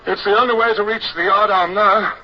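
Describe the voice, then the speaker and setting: Man acting young British officer, high strained voice, anxious, commanding, radio drama, muffled noisy old recording. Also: fairly even intonation, a little fast, natural voice.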